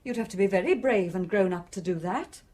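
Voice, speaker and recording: Actress as a husky, cunning carer. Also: female voice, mid-range voice, brisk, darkish tone, natural voice. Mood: needling. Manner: coaxing, needling. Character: cunning female carer coaxing a dangerous youth. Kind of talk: radio drama acting.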